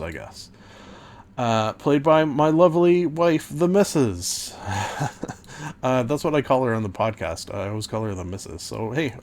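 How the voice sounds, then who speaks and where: A man hosting a podcast, casually recapping an old tabletop campaign, rambling with many fillers and self-corrections, and a little hoarse. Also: medium pitch, a little mellow, slight background noise. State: losing his voice. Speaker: podcast host and game master.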